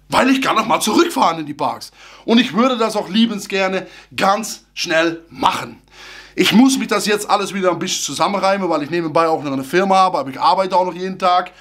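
Chatty man. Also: sits high. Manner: chatty, animated, slightly broken grammar. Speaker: theme park YouTuber. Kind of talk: casual vlog talk to camera. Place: small room full of fan merchandise, indoors. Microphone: camera at close range.

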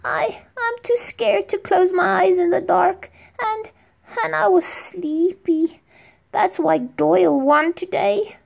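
A woman voicing a small, earnest boy who plays at being a knight, asking anxious, pleading questions in a raised, high voice. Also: slow pace, vocal fry, exaggerated voice.

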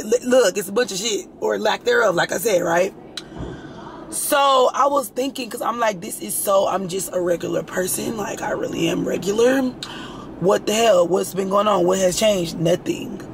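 Raspy, chatty young woman vlogger. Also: mid-range voice, slight background noise, poor audio. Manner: chatty, rambling, frank, swears freely. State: hoarse, itchy throat. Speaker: lifestyle vlogger. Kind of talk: casual chat to camera.

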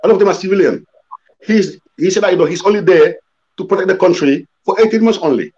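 An older man talking as a panel guest on a video call, halting, with many restarts and "you know" as he explains. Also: high voice, darkish tone. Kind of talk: casual discussion on a video call. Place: room indoors. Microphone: laptop webcam video call. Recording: slightly dull video-call audio.

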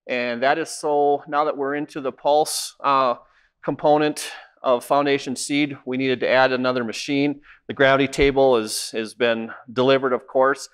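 A man's voice: medium pitch, fairly even intonation, normal speed, clean tone, no echo. A middle-aged man who manages a seed program gives a plain-spoken walking tour of a cleaning plant and wheat fields, with many "uh" fillers. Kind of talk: informal walk-through tour.

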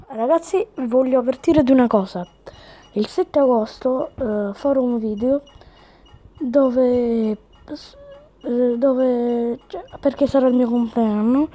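A woman's voice: fairly high pitch, slow, warm tone. A woman chatting casually through a game as a video host, reading on-screen text aloud as she comments.

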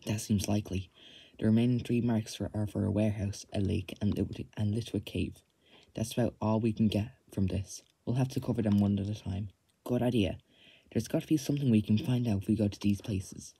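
A man's voice: fairly low pitch, a little fast, vocal fry, dark tone, slightly rough, dry recording. A man casually reading game dialogue aloud and commenting as he plays. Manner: reads on-screen text in a plain voice. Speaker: let's play gamer.

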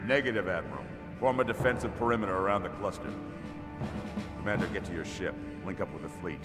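Man acting a deep-voiced grave navy admiral. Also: fast talker, close to an everyday voice. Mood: urgent, commanding. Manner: formal, commanding. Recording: hall reverb, loud music behind.

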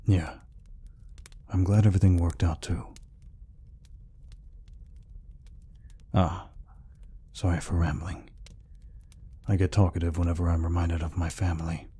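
A man voicing a gentle, peaceful orc healer in an ASMR roleplay, speaking softly and reassuringly without hurry. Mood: fond, reminiscing. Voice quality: deep, husky, breathy. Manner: soft, unhurried, reassuring. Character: gentle, peaceful male orc healer, soft-hearted caretaker.

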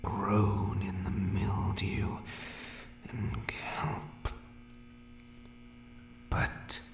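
A man's voice: lowish voice, fairly bright tone, roomy sound. A man voicing a monstrous, obsessive barber creature in whispered, broken, repetitive speech for a horror ASMR roleplay. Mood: eerie pride. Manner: broken, clipped speech with repeated words. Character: monstrous male barber creature from dark places, obsessed with cutting.

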